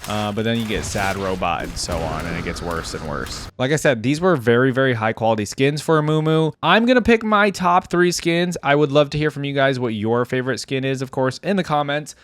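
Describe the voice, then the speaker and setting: A man's voice: mid-range voice, no echo. A man hosting a reaction video, giving casual, conversational commentary and thinking aloud between bits of reading.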